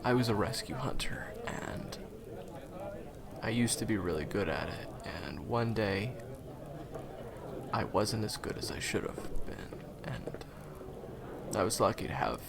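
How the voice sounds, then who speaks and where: A man playing a gentle, humble ex-hunter turned guide in an audio roleplay, speaking hesitantly and softly with frequent pauses. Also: lowish voice, gravelly, crowd noise. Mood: somber, confessional. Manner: hesitant, soft-spoken, halting with pauses. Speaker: monster hunter's handler in a fan audio roleplay. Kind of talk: intimate conversational audio roleplay acting.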